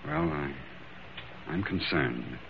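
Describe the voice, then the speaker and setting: An actor playing a concerned but guarded doctor, polite, measured and probing, in old-time radio drama style. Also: male voice, a bass voice, gravelly, full character voice. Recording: tinny, very noisy old radio broadcast.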